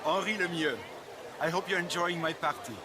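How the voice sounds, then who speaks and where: A genial, wry mayor played by a man, slightly high in pitch and urbane in manner. He sounds like a video game party host, with very loud outdoor noise around him. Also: steady tone, moderate pace, lightly acted. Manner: urbane, self-deprecating. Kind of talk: video game cinematic acting.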